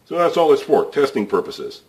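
A man's voice: medium pitch, wildly swooping pitch, a little fast, a little mellow. A man hosting a casual hands-on repair tutorial, thinking aloud with fillers as he works in an indoor workshop. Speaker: DIY repair channel host. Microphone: camera overhead of workbench, voice off-screen.

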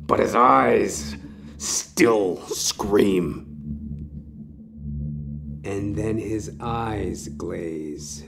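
A man narrating a horror story in a suspenseful, theatrical manner, with short deliberate phrases. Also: lowish voice, gravelly, noisy background. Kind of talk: dramatic second-person horror story narration. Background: music.

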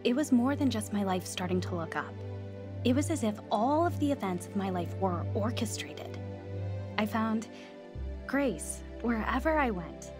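An actress playing an earnest, anxious young woman in recovery in a heartfelt, expressive radio drama. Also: a little high, a little slow, over music. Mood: reflective, heartfelt. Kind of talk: radio drama acting and first-person narration.

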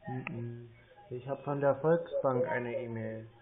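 A man's voice: mid-range voice, steady tone, slow pace, phone-quality sound, crowd noise. A man in casual phone talk with a laconic, muttering way of speaking. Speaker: scam-baiter posing as a customer on a phone call.

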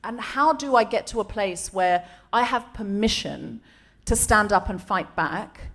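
A woman's voice: medium pitch, lively intonation, measured pace, fairly bright tone. A woman in her forties with an English accent, hosting a comedy podcast on a theatre stage in front of a live audience, chatty and self-mocking. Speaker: podcast host.

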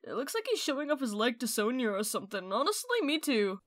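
A woman's voice: a little high, big pitch swings, fast talker, no room sound, studio quality. A woman reading fan messages aloud in a casual style for a comic dub.

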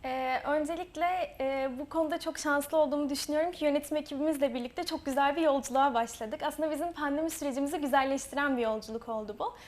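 Young woman guest, quick high voice, earnest, lots of little pauses. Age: young adult. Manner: earnest, quick, with frequent hesitations. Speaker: student author, guest. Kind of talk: interview answers.

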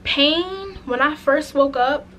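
A raspy-voiced woman in her twenties telling a frank, chatty story-time vlog to camera, full of "like" and "um". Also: sits high, takes it slowly, fairly bright tone, slight background noise. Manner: chatty, frank, many "like" and "um" fillers. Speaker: vlogger. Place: indoors, plain light wall, small room. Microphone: camera in front, head and shoulders.

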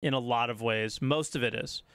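A man's voice: mid-range voice, fairly even intonation, normal speed, fairly bright tone, clear, resonant tone, no room sound. A man giving casual, conversational reaction commentary as a streamer, musing as he goes.

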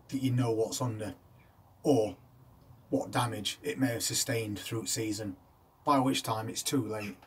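A middle-aged man from the UK, hosting a gardening channel, explains how to grow vegetables in a steady, methodical way from inside a greenhouse. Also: medium pitch, slightly rough. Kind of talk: instructional talk to camera. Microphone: camera set back at a distance. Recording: slightly distant camera audio with faint ambience.

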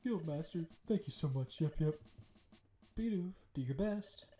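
A man voicing cheerful creatures from a game, reading their lines with excited, high sing-song energy. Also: unhurried, a little hiss or hum. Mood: grateful.

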